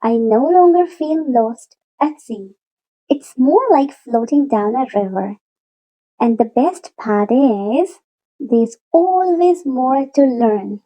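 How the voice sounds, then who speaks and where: A woman narrates a children's storybook in a high, mellow voice. She takes her time, stretching key words for emphasis. Her delivery is expressive and clearly aimed at young listeners. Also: rough recording. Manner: animated, deliberate storytelling with drawn-out emphasis. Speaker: storybook read-aloud narrator. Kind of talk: expressive children's picture book reading.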